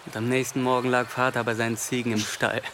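A man playing an affectionate, easily alarmed young brother in a German audio drama, excitable and nervous. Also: mid-range voice, fast talker, slight background noise, lightly acted. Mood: fond, teasing.

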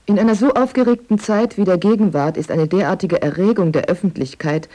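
Dark-toned woman reading press quotes. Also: mid-range voice, brisk. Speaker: reader of quoted newspaper articles. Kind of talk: scripted reading.

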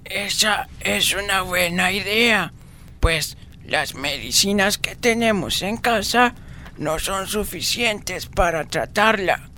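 Man playing a high, bright, practical household man. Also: slow pace, slight background noise, heavily acted. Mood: reassuring. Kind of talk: amateur radio drama acting.

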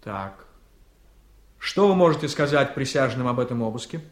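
A man's voice: mid-range voice, lively intonation, moderate pace, natural voice. A man acting an assertive district prosecutor in a radio play, formal and probing. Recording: echoey room.

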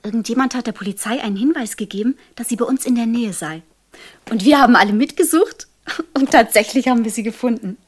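An actress playing a solicitous wife in an audio drama, speaking in a tender, coaxing, breathy way. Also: female voice, fairly high pitch, fast talker, close to an everyday voice. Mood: eager relief. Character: solicitous, gently chattering wife of a troubled man.

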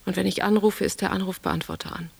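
An actress playing an introspective, haunted woman who speaks in hushed, breathy fragments, as an inward monologue in a literary radio play. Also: mid-range voice, fairly even intonation, quick pace, no room sound. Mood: resigned. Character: introspective woman recalling childhood and love, haunted, searching.

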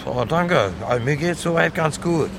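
Earnest homeless man, radio drama; slightly high, plain colloquial voice, clear traffic noise outdoors. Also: moderate pace. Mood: grateful. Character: earnest homeless man chasing his dream, grateful. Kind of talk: radio drama acting, interview-like. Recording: noisy outdoor urban street, traffic. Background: vehicle and street noise.

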